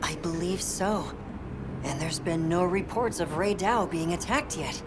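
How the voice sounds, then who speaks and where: A man's voice: sits high, unhurried. An actor playing an inquisitive, analytical male field researcher in a video game, explaining things thoughtfully. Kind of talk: video game cutscene acting. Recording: clear ambient noise behind the voice.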